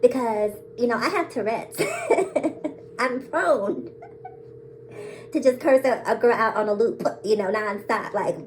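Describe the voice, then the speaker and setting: A bold, sassy woman with a somewhat high voice talks straight to her viewers in a casual vlog. She laughs often and drops "girl", "sis" and "baby" as she speaks her mind with full confidence. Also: very animated, slow pace, long pauses, a little hiss or hum, low-quality recording. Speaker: vlogger. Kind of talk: casual to-camera monologue.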